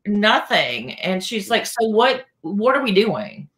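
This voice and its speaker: A woman's voice: mid-range voice, big pitch swings, slow, a bit bright. Woman chatting in an echoey room. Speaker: talk show co-host. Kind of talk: casual chat, anecdotes. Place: indoors, on a video call. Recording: echoey room over video call.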